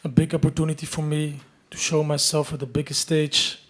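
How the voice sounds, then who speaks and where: A male kickboxer answers at a press conference in a fairly high voice. The delivery is assured, with very little rise and fall. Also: level tone, brisk.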